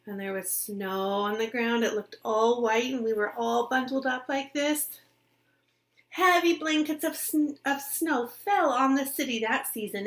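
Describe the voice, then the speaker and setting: A woman with a fairly high voice reads a children's picture book aloud at a very unhurried pace. She sounds like a teacher, expressive in her reading and simple in her explanations, often turning to her young listeners with questions.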